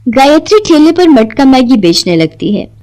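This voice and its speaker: A woman's voice: sits high, big pitch swings, moderate pace, dark tone. A woman narrator reading a scripted moral story.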